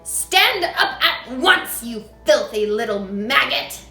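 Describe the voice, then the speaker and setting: A woman's voice: expressive, takes it slowly, pushed and bright, soft background music, heavily acted. A woman voicing a huge, child-hating headmistress who barks and rants, read aloud in an echoey room. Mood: shouting. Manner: booming, barking, ranting.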